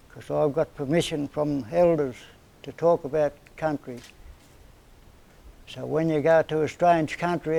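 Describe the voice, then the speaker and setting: A man in his seventies from northern New South Wales with an Australian accent, telling stories of his life in a relaxed, plain-spoken way during an interview. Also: on the high side, creaky, rough recording. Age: 70s. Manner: plain-spoken, rambling storyteller with everyday slang. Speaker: interviewee, community elder. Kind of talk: storytelling in casual conversation.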